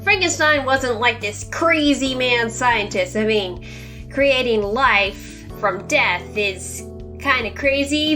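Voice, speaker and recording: A woman's voice: fairly high pitch, bright, forceful tone. A woman hosting a book review, narrating in a conversational, semi-scripted way with emphatic, informal asides. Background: music under the voice.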